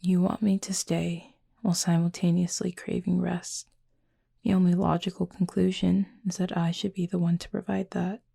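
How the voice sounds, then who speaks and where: A woman voicing a gentle, formal cupid roommate in an intimate roleplay audio, soft, breathy and husky, speaking in a measured, earnest way. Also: fairly low pitch, level tone, no echo, studio quality, exaggerated voice. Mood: hesitant, puzzled.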